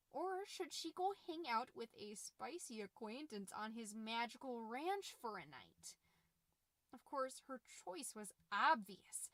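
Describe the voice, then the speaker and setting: Woman playing a high-pitched, theatrical podcast mom. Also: fairly bright tone, no room sound. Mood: dramatic, earnest. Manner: theatrical, folksy, alliterative, drawn-out emphasis. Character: chirpy, chatty, dramatic suburban mom hosting book-club podcast. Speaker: podcast host. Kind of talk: scripted comedic podcast monologue.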